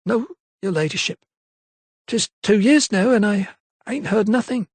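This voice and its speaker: A man's voice: high voice, a little slow, slightly rough, no echo, pitched above the performer's own voice. A man voicing a timid, deferential young female maid with rustic, uneducated speech. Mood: timid, earnest. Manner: hesitant, deferential.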